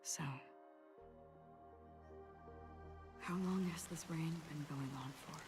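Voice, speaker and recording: Woman as low, solemn swordswoman, calm and formal. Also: natural voice. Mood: solemn, reflective. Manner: measured, formal, polite. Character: calm, solemn, mysterious exiled swordswoman in a space fantasy. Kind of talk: scripted game voice acting. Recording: music behind the voice.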